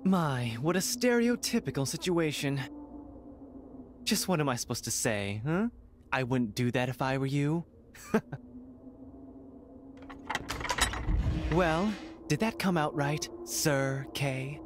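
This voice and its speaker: An actor playing an arrogant, mocking noble heir in a video game, with theatrical, smug sarcasm. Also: male voice, fairly high pitch, a little slow, a little hiss or hum, lightly acted.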